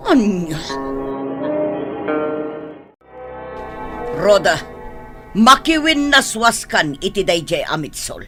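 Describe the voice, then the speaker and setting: A woman's voice: medium pitch, big pitch swings, unhurried, over music. A woman playing a strict, disapproving, churchgoing village mother in an Ilocano comedy radio drama, with a Filipino accent and a scolding, emphatic delivery. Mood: angry, insistent.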